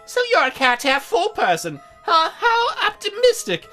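A man voicing a prim, teasing young female demon from a video game, questioning in a slow, coy way. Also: very high voice, huge pitch swings, sharp tone, faint music behind, pitched above the performer's own voice, full character voice.

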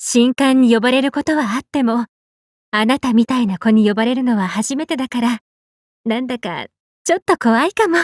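High-voiced, affectionate sun goddess acted by a woman. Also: moderate pace, no echo, studio quality, close to an everyday voice. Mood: gentle, pensive. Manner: swings between excited exclamations and gentle, tender speech. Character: tall, bubbly, affectionate big-sisterly Aztec sun goddess. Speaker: game character. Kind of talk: Japanese anime game voice acting.

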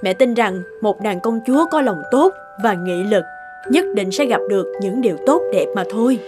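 A woman voicing a gentle, encouraging cartoon mother in a soothing, affectionate, expressive manner, with music behind her. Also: mid-range voice, moderate pace, lightly acted. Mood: tender, reassuring. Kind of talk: cartoon dubbing.

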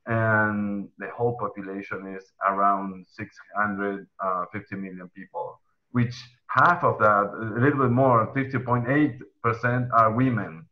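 A man's voice: mid-range voice, muffled recording. A young man from Argentina with an Argentine Spanish accent, moderating a webinar panel over a video call, full of ums and restarts. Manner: hesitant, many ums and restarts. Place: indoor room at home. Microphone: headset earphones on video call.

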